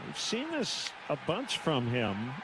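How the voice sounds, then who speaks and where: An American man calling live baseball on the radio in short, clipped phrases with pauses. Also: fairly high pitch, wildly swooping pitch. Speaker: baseball play-by-play announcer. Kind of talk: live radio play-by-play commentary. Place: stadium broadcast booth. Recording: noisy live ballpark broadcast.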